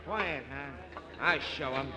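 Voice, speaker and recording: An actor playing a furtive, disgruntled convict with a cagey manner in a 1940s radio crime drama. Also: male voice, medium pitch, takes it slowly, pushed and bright. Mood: angry. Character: furtive, disgruntled male convict plotting a breakout. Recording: noisy old 1940s radio recording.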